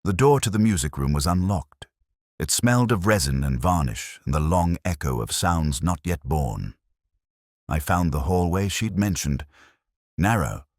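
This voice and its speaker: A man plays a world-weary middle-aged detective telling his own mystery story. His voice sits extremely low yet moves expressively through each phrase. The delivery is reflective and literary, recorded close and dry. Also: expressive, normal speed, dry recording. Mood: brooding, reflective. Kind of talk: scripted first-person mystery narration.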